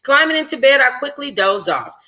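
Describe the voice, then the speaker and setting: A woman reading a first-person novel aloud informally as a book club host, in an expressive, conversational, slangy way. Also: high voice, brisk.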